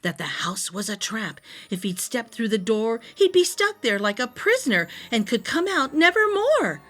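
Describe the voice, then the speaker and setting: Animated, high-voiced woman storyteller. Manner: deliberate, dramatic phrasing. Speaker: storytime reader. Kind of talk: expressive rhyming picture-book narration for young children.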